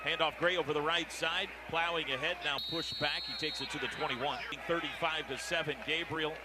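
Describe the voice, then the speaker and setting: An American man calling football play-by-play on the radio in clipped phrases, getting excitable on big plays. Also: a little high, brisk. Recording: very noisy stadium radio broadcast. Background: loud stadium ambience.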